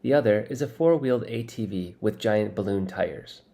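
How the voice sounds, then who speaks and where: A man narrates a children's story in the first person. His voice is fairly low with a strong creaky fry, and the reading is scripted and expressive. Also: normal speed. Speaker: audiobook reader.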